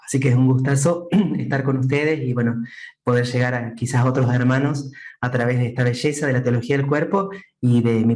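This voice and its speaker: A man with an Argentine accent, a priest from Entre Ríos, speaking as a guest in a measured, preaching cadence. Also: medium pitch, darkish tone. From Argentina, Entre Ríos province. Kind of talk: calm explanatory talk. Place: remote, joining from another country.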